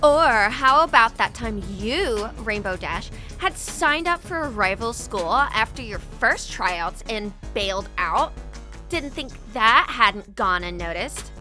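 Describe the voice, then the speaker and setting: Actress as taunting teen schoolgirl, high bright voice, cartoon drama with music behind. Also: animated, takes it slowly, heavily acted. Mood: haughty, scornful. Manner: taunting, sassy. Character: spirited, sassy teenage high school girl. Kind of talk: cartoon fan drama acting.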